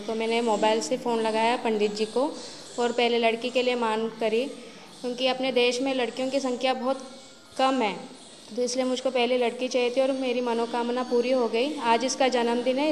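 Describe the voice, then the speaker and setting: A woman, a devotee giving personal testimony in an interview. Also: fairly high pitch. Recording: long reverberation, on location.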